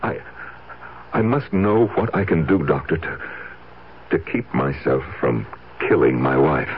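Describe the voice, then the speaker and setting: An actor playing an anxious, halting husband afraid of himself in a 1970s radio drama. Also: male voice, a bass voice, expressive. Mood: fearful, haunted. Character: troubled husband afraid he will kill his wife. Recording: tinny, noisy old radio recording.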